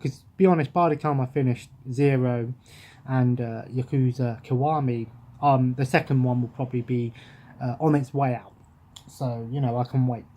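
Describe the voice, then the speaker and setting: A man's voice: medium pitch, fairly even intonation, normal speed, mellow. A young man with an English accent, chatting loosely to his webcam from a sofa at home, wandering off track and restarting his sentences. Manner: rambling, absent-minded, many restarts. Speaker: gaming vlogger. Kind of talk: casual chat to camera. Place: living room at home, sofa. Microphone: webcam at close range.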